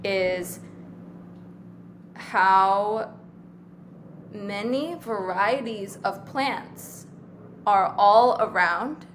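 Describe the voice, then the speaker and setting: A person in their twenties from Taiwan, chatting casually as an interview guest, relaxed and rambling with lots of fillers. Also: female voice, medium pitch, very slow, long pauses, slight background noise. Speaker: guest actor.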